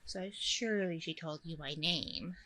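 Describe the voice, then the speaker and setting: A woman's voice: sits low, measured pace, sharp tone, heavily acted. A woman playing a friendly, nervous single mom on a blind date in an ASMR roleplay, rambling casually in a bubbly way. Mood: shy, friendly. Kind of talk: ASMR audio roleplay, conversational.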